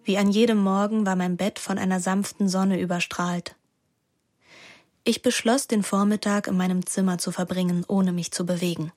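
A woman's voice: medium pitch, fairly even intonation, normal speed, darkish tone, close to an everyday voice. An actress playing a restless, detached young woman who narrates and speaks in a radio drama in a composed, reflective way. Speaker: lead actress and first-person narrator.

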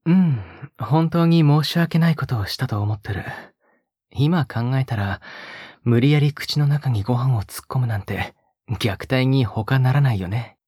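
A male actor playing a possessive young captor in an intimate audio drama, coaxing softly and often slipping into a whisper. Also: medium pitch, unhurried, no room sound, studio quality. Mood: pleading, remorseful. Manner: soft, coaxing, often dropping to a breathy whisper. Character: possessive, sickly-sweet young male captor doting on a pet-like girl. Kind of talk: intimate audio drama acting, spoken to the listener.

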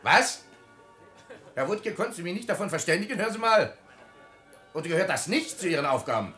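Domineering son-in-law, brash, sarcastic, impatient, animated pitch, radio play, faint music. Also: male voice, fairly quick, exaggerated voice. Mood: irritated, domineering. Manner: blustering, demanding, sarcastic. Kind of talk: German radio drama acting.

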